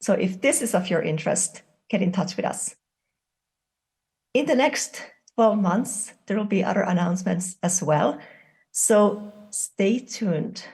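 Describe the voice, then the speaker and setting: A woman's voice: mid-range voice, lively intonation, a little slow, a little mellow. A woman with a Finnish accent, a conference director, inviting an audience over a video call. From Finland. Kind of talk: remote invitation speech.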